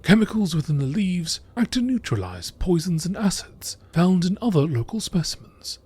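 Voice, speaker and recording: A man reading scripted nature documentary narration aloud in a measured way. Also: sits high, very animated, a little mellow, slightly rough.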